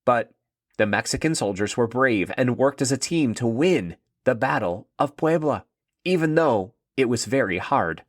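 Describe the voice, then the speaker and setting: A man's voice: medium pitch, lively intonation, normal speed, no echo, clean studio sound, close to an everyday voice. A man voicing an eager, proud young schoolboy in a children's story, earnest and enthusiastic.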